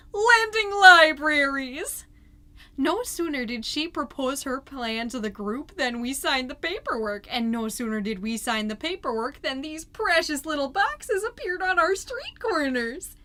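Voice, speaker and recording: A woman's voice: sits high, animated, measured pace, pushed and bright, full character voice. A woman playing a chirpy, gushing suburban PTA mom who hosts a podcast, delivering a comedic monologue in a theatrical, folksy and emphatic way. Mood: gushing, excited. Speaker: podcast host.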